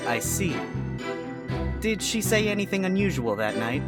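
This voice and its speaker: A man's voice: sits high, sing-song, normal speed, over loud music, voice pushed up. A man voicing a sarcastic, wisecracking male fox defense attorney with a dry, exasperated delivery.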